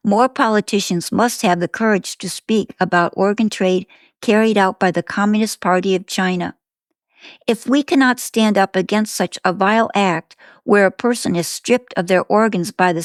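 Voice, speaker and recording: A woman reading scripted news as a newsreader, in a measured, formal manner. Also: mid-range voice, no room sound, clean studio sound. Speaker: news reader.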